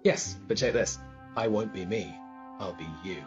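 A man's voice: on the low side, normal speed, darkish tone, soft background music, natural voice. A young man playing a breezy, cocky, chatty valet in a pantomime. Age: young adult. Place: living room at home. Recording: dull video-call audio.

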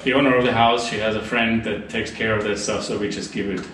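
A man's voice: lowish voice, steady tone, fast talker, a bit bright. German man, 30s, easygoing vlogger; distant, roomy, noisy sound. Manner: chatty, with many fillers and restarts. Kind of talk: casual gear walkthrough. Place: large tiled room indoors, then inside a truck garage. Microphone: camera mic from a few meters off. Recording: echoey room, distant camera mic, noisy.

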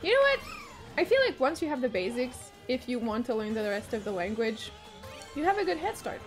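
A young woman with a French Canadian accent, whose first language is French, chatting casually and excitably while streaming a game, swearing freely and humming to herself. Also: fairly high pitch, brisk. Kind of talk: casual live-stream chat while gaming. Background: faint game music.

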